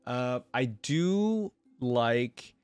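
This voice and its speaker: A man's voice: medium pitch, expressive, extremely slow, darkish tone, clear, resonant tone, no room sound. A man giving casual, off-the-cuff reaction commentary as the host of a video.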